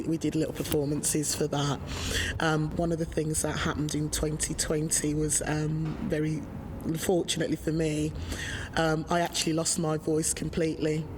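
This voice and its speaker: Low-voiced woman, chatty and halting. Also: background noise. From Birmingham, England. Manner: hesitant, many ums, chatty and rambling. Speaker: singer in a band, interviewee. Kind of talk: casual interview talk. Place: music venue with murals.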